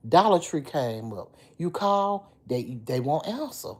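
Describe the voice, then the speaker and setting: Middle-aged woman, low deep dark voice, slightly hoarse, chatty livestream host. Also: sing-song, slow. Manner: chatty, talks back to commenters by name. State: a little hoarse. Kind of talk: casual livestream chat with viewers.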